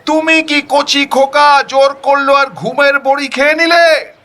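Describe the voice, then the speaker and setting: A man voicing an angry, suspicious wife who scolds her husband in a sharp, accusing, scornful way. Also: very high voice, steady tone, measured pace, voice pushed up, full character voice. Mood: scornful, furious.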